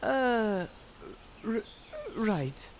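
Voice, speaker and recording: A man's voice: high voice, sing-song, very slow, slight background noise, exaggerated voice. Man playing a shy stammering young alchemist. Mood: flustered. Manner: hesitant, stammering. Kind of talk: fantasy audio drama acting.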